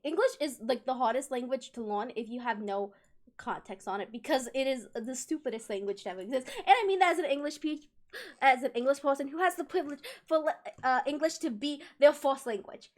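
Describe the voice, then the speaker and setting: A woman's voice: high-pitched, brisk. A young woman streaming live, chatting casually with viewers, laughing often and restarting her sentences. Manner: giggly and emotional, laughing through words, restarts often.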